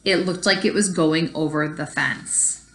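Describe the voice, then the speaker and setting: A woman's voice: deep voice, steady tone, a little slow, fairly bright tone, a little hiss or hum. A woman teacher reading a children's story aloud expressively and explaining it to young pupils. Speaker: elementary school teacher.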